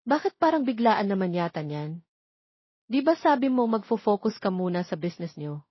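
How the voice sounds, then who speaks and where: A woman's voice: medium pitch, big pitch swings, moderate pace, slightly rough, dry recording, highs cut off. A woman with a Filipino accent voicing a sweet, dutiful young woman in love in an audio drama. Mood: surprised, gently deferring.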